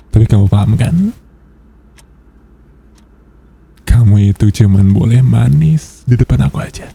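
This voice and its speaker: A man acts out a possessive yandere boss boyfriend in an ASMR roleplay. His voice is very dark and husky, heavy with breath, and he speaks slowly and close. The tone is coaxing yet controlling, menace wrapped in softness. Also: medium pitch, heavily acted. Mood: mock tender. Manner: slow, coaxing, controlling, with soft threats.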